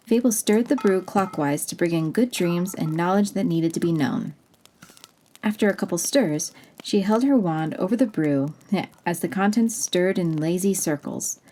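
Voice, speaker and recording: A woman narrating a fantasy story in a gentle, unhurried way. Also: lowish voice. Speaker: audiobook narrator.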